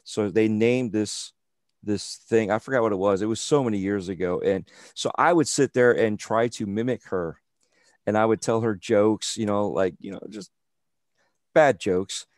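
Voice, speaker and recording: A man's voice: on the low side, normal speed, no room sound. Rambling male host, dry close sound. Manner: rambling anecdotes with many restarts. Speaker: interview host. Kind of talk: casual interview chat over a call.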